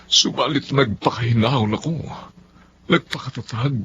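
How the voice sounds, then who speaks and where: A man's voice: mid-range voice, normal speed, bright, forceful tone, lo-fi sound, slight background noise, natural voice. An actor playing a middle-aged, betrayed but forgiving father in a Filipino radio drama, earnest and emotional. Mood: pleading, composed.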